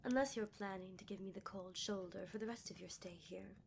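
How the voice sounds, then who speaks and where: A woman acts out a vampire captor in an ASMR roleplay, speaking in an intimate, whispery voice at a middle pitch. The vampire is menacing yet playful, taunting a captive at a leisurely, teasing pace. Clear background noise and ambience sit behind the voice. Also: fairly even intonation, brisk, close to an everyday voice.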